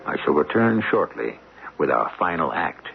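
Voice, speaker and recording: A man playing a solemn, ominous mystery host, narrating a radio drama with portentous, deliberate phrasing and dramatic pauses. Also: very deep voice, very animated, gravelly, heavy background noise, heavily acted. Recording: dull vintage radio, music underneath. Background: dramatic music.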